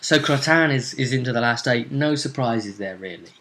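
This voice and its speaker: Bright-voiced man, chatty wry host. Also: medium pitch, expressive, normal speed. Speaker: host of a tabletop role-play series. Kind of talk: casual chat and tournament recap.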